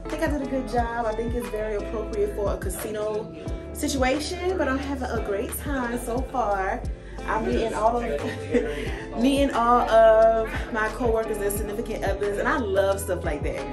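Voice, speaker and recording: A woman's voice: fairly high pitch, normal speed, loud music behind the voice. A woman in her thirties chatting casually to viewers as a vlogger, bubbly and chatty, filming herself on a phone at arm's length in an office turned party venue. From Atlanta, United States. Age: 30s. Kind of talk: casual vlog chat to camera. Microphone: phone held at arm's length.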